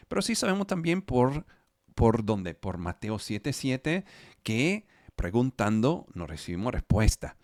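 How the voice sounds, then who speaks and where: A man preaching a sermon as pastor, Spanish not his first language, slow and halting, often repeating words as he searches for phrasing. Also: mid-range voice, a little mellow, no room sound, studio quality. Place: home studio for a live online service.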